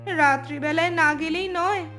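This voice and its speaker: A woman's voice: extremely high pitch, moderate pace, bright, forceful tone, soft background music. A woman playing an anxious, protective village relative in a cartoon story. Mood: worried.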